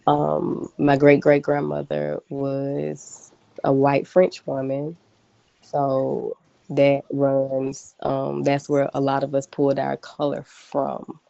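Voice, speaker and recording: A woman in her early thirties, a guest on a casual group podcast over a call, speaking in a slow, relaxed, thoughtful way. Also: extremely low pitch, gravelly, no echo, highs cut off. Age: 30s.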